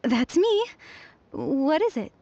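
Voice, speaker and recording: A woman's voice: sits high, huge pitch swings, takes it slowly, warm tone, lo-fi sound, slight background noise, heavily acted. An actress playing a defensive, lovesick young female researcher in a video game, huffy and dramatic in manner. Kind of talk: voiced game cutscene dialogue.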